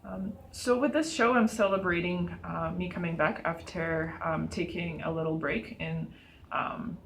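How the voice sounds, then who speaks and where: A young woman artist speaking in an interview at a gallery, explaining her artwork with frequent pauses and fillers. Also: on the low side, steady tone, creaky, a little hiss or hum. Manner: hesitant, many ums and uhs. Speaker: exhibiting artist. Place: art gallery, indoors.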